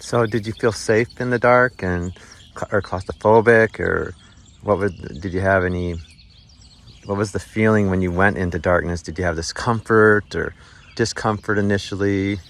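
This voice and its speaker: A man interviewing someone outdoors, asking halting questions that he keeps rephrasing. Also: lowish voice, steady tone.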